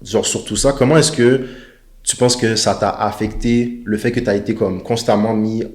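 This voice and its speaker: A man's voice: fairly low pitch, fairly even intonation, moderate pace. A young man, hosting a casual interview in an informal, slangy way. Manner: informal, slangy, with filler English. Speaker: podcast host.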